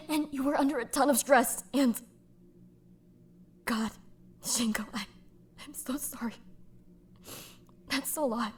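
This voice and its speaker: Lady doing a caring young woman, high-ish breathy voice, blunt and gentle, swears a bit. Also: heavily acted. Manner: frank and gentle, swears, sometimes hushed. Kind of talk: fan audio drama acting.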